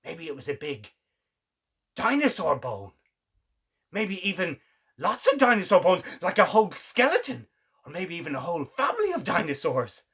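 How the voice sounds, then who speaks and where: Animated, slightly husky male children's storyteller. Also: high-pitched. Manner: exclamatory, theatrical, with dramatic pauses and gasps. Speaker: children's story reader, show host.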